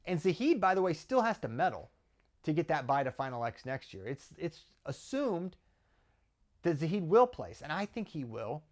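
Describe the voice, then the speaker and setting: A man's voice: on the high side, big pitch swings, normal speed. A man with an American accent giving conversational sports analysis as a host, often posing questions and answering them himself. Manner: argues through rhetorical questions and tag questions. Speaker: wrestling commentator and host.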